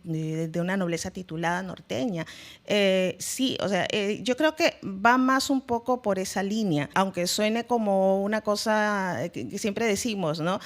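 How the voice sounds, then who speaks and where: A woman, a guest historian explaining her views reflectively in an interview, repeating phrases for emphasis. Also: mid-range voice. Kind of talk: informal academic discussion in an interview.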